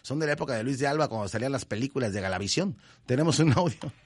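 A man's voice: medium pitch, rapid-fire, husky. A man with a Mexican accent hosting a radio show, reading listener messages and teasing them with jokes. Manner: joking, teasing, lively banter. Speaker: radio host. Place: radio studio.

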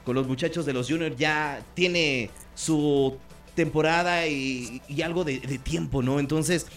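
A man hosting a casual radio interview, chatty and joking, firing off quick questions. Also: on the high side, soft background music. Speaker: radio host.

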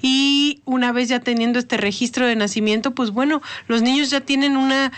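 A woman with a Mexican accent speaks as a studio guest in a radio interview. She explains her topic at length, repeating words as she goes and closing with questioning tags. Her voice is a little higher than most women's. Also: fairly even intonation, moderate pace. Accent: Mexican Spanish. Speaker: studio guest, government official.

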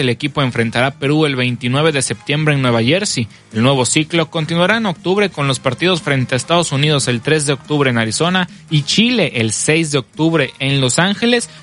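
Hombre locutor de noticias deportivas, voz algo aguda. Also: male voice, fairly high pitch, fairly bright tone. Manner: brisk, measured newsreader delivery with time checks. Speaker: sports news anchor. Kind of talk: scripted radio sports bulletin.

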